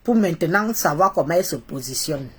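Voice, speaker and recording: A woman's voice: lowish voice, lively intonation, brisk, breathy edge. Congested Cameroonian woman ranting casually. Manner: mocking, opinionated, repeats phrases for emphasis. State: congested with a cold. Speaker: social media live streamer. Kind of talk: casual live-stream rant to followers.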